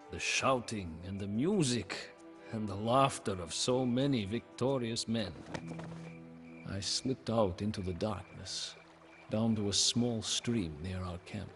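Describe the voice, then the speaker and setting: An actor playing a solemn, grieving widowed medieval king, narrating his story in a game cutscene with grave, measured pauses. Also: medium pitch, a bit bright. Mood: triumphant, weary. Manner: grave, measured, pausing, often breathy at phrase ends. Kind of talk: dramatic first-person storybook game narration.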